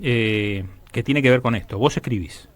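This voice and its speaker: A man with an Argentine Rioplatense accent hosting a casual interview, agreeable and quick to acknowledge what he hears. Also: mid-range voice, no echo. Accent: Argentine Rioplatense Spanish. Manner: agreeable, frequent short acknowledgements. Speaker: radio interviewer and host.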